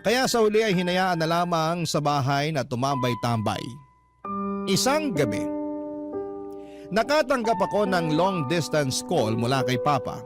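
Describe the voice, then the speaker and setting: Lalaking tagapagkuwento sa radyo, malakas ang musika. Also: male voice, on the high side, sing-song, measured pace. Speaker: radio storyteller reading listener letters. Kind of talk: dramatic first-person letter narration. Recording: loud music bed under voice.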